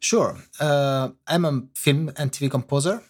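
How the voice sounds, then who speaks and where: A man with an Italian accent and Italian as his first language, a guest on a podcast interview, answering in halting English as he searches for words. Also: on the high side. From Italy. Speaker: guest composer.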